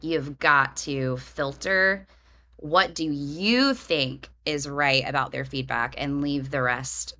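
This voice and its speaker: A middle-aged woman co-hosting a podcast, chatting casually in an animated, self-deprecating way and laughing easily. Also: low voice. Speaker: podcast co-host. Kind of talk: casual chat.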